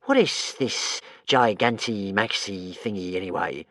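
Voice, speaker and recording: A man voicing a slow, hissing tentacled monster. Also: on the low side, a bit bright, dry recording, studio quality, pitched below the performer's own voice. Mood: sulky. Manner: slow, drawn-out, whining hiss.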